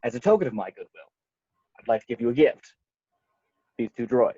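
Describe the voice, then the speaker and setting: A man plays a calm young knight in a sci-fi table read. He speaks quickly in formal, diplomatic phrases. The audio is dry and a little muffled. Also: medium pitch, no room sound. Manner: measured, diplomatic wording. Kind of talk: amateur table read over video call. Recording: muffled video-call audio.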